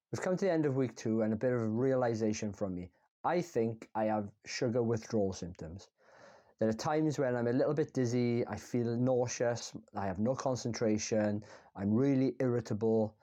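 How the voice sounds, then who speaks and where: A man's voice: mid-range voice, warm tone. A man on his own video channel, mixing scripted voice-over with candid, self-deprecating diary talk about his daily habits. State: congested from a cold. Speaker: vlogger. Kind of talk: mix of scripted voice-over narration and casual diary-style talk.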